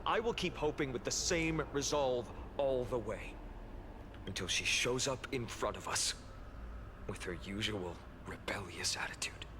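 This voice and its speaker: Calm, resolute young hero acted by a man. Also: medium pitch, noisy background, lightly acted. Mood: resolute, hopeful. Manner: measured, earnest, reassuring. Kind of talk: earnest video game acting.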